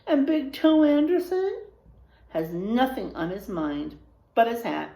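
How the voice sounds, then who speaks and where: A woman's voice: a little high, very animated, unhurried, vocal fry, mellow, echoey room, heavily acted. A woman voicing a feisty, exasperated widowed rancher in a children's storybook tall tale, complaining emphatically. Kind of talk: children's storybook read-aloud.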